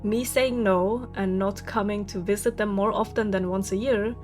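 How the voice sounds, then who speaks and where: A woman's voice: mid-range voice, fairly even intonation, moderate pace, a little mellow, soft background music. A woman, venting candidly in a casual vlog monologue, swearing freely and laughing often. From Germany. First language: German. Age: 30s. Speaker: vlogger.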